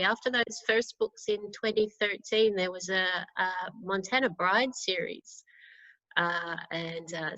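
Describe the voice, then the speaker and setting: Bright woman, dry dull audio. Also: medium pitch, no room sound. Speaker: romance author, panel guest. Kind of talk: casual self-introduction. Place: at home on a video call.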